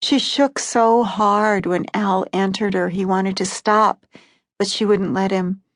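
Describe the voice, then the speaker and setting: A woman narrating literary short stories in a calm, even voice of middling pitch. The recording is close and dry, with no room around it. The delivery stays steady and unhurried. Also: no echo. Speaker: audiobook narrator.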